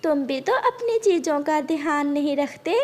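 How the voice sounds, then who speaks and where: A woman's voice: sits high, expressive, normal speed, a little mellow. A woman voicing a caring, mildly scolding mother bird in a children's cartoon, with a patient, motherly manner. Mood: gently chiding.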